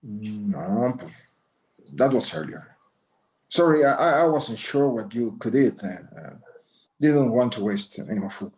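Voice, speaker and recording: A man's voice: mid-range voice, expressive, measured pace, a little mellow, lightly acted. A man playing a proud, evasive old rancher father in a screenplay table read, hesitant and slipping between Spanish and English. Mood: sheepish, puzzled. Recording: roomy, echoey home recording.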